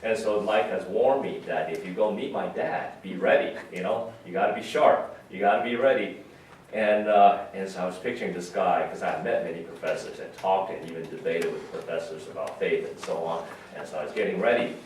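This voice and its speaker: A low-voiced man gives an informal funeral message as a pastor. He speaks haltingly and reflectively. The sound is very echoey and distant, with faint noise behind him. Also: a little mellow. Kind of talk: conversational memorial sermon. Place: large reverberant room at a memorial service.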